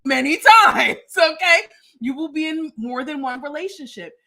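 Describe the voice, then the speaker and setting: A woman's voice: high-pitched, moderate pace, creaky. A middle-aged woman hosting a casual livestream chat, giving motherly advice to her goddaughter and talking to viewers. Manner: animated, motherly advice, addresses viewers as y'all. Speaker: host and godmother.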